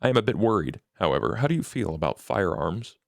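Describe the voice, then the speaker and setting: A man improvising in-character dialogue in a tabletop game in a formal, deliberate, businesslike manner. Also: low voice, sing-song, vocal fry, no room sound, studio quality. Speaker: player in a tabletop role-playing game.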